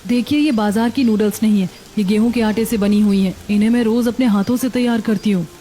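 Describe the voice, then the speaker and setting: A woman's voice: medium pitch, a little fast, dark tone, close to an everyday voice. A woman playing a patient, honest young daughter-in-law who runs a food stall in a cartoon. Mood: earnest, reassuring. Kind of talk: cartoon dialogue acting. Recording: background music under voice.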